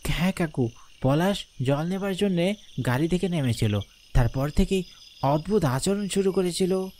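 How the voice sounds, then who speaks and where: A man acts out a wary young man from a horror cartoon, out to save his own skin. His pitch is fairly high, and faint night-insect noise sits behind him. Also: expressive, normal speed, darkish tone. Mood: anxious insistence.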